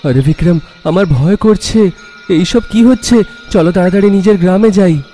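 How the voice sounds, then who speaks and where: A man's voice: sits high, moderate pace, dark tone, soft background music, natural voice. A man voicing a timid, worried young companion in a cartoon, fretful and questioning. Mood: fearful. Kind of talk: cartoon voice acting.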